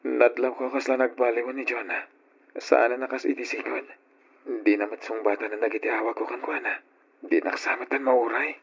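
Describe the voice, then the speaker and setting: A man's voice: mid-range voice, little pitch movement, moderate pace, a bit bright, husky. A man playing a lovesick, worried young nephew working abroad in an old radio drama, with a plaintive Filipino accent. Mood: troubled. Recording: dull old radio recording, music behind.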